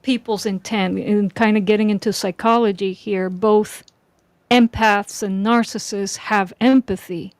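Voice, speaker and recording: Slow-talking woman, close dry sound. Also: medium pitch, steady tone, no room sound. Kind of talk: casual reflective discussion.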